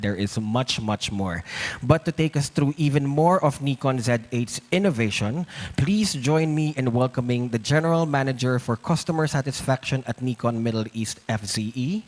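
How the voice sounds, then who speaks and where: A man presenting as the host of a live event in a formal, courteous manner. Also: medium pitch.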